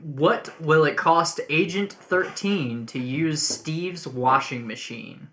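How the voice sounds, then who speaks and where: A man's voice: a little high, slow, a bit bright, slight background noise. A man appearing as a guest on a podcast game, talking in a dry, self-mocking way. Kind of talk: casual game chat.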